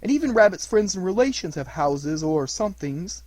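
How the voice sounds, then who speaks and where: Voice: mid-range voice, expressive, normal speed, a little mellow, dry recording, voice pushed up, lightly acted. A storyteller voicing a cheerful, muddled young bear of little brain, happy and earnest in a slightly dreamy way. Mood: thoughtful. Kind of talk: storybook reading.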